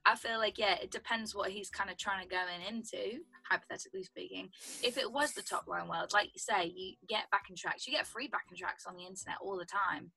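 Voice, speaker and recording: Young uk woman, husky but bright voice, chatty, video call from her room. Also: mid-range voice, fairly quick, poor audio. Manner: chatty, explains with lots of "like" and "do you know what I mean". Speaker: songwriter guest. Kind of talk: casual video call interview. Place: home room indoors. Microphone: video call webcam.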